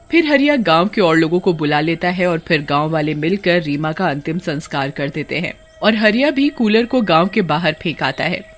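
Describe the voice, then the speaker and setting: A woman reading scripted narration for a story. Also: fairly low pitch.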